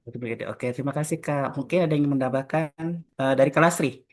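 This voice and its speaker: Relaxed young man hosting a podcast. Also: mid-range voice, fast, soft background music. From Jakarta. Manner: friendly, relaxed, frequent filler "eh". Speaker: podcast host. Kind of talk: casual group podcast chat.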